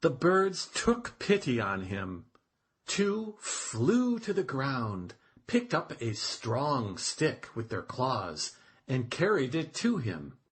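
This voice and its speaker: Very slow, animated children's storyteller. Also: mid-range voice, slightly rough. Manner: expressive, deliberate. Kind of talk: children's read-aloud narration.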